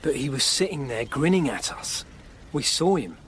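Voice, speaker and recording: An actor playing a blunt, earthy assistant to an investigator in a Tudor radio drama. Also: male voice, mid-range voice, animated, moderate pace, fairly bright tone, slight background noise, close to an everyday voice. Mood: sceptical. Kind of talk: period radio drama acting.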